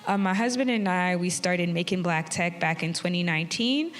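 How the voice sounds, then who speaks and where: A woman's voice: on the low side, normal speed, a little hiss or hum. A woman from Haiti in her thirties, a tech community founder speaking earnestly on a live theatre panel. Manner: earnest, friendly, with fillers and laughs. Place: theatre stage. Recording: long reverb of a theatre hall.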